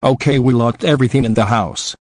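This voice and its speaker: A man's voice: mid-range voice, brisk, darkish tone, slight background noise, close to an everyday voice. A man playing an anxious, worried husband in an amateur horror drama. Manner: worried, questioning. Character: anxious husband hiding from a killer.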